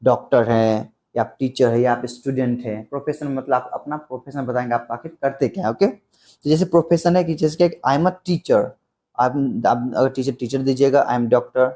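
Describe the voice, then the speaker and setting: A man with a soft-edged, mellow tone at a medium pitch. He teaches like a tutor for beginners, explaining things step by step. He often repeats his example phrases. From Bihar, India. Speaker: English teacher. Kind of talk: spoken English lesson explained in simple Hindi.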